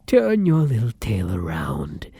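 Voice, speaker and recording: A man playing a charming, bloodthirsty vampire lover in an intimate audio roleplay, with a breathy, husky voice that often drops to a whisper and courtly, theatrical endearments. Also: on the low side, wildly swooping pitch, slow pace, mellow, no echo, exaggerated voice. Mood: menacing, hungry.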